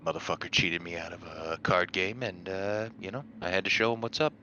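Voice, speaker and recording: A man's voice: deep voice, fairly even intonation, fairly quick, pushed and bright, muffled recording, slight background noise. A man playing a tabletop game, talking casually in and out of character in a blunt, dry way. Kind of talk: casual in-character roleplay chat.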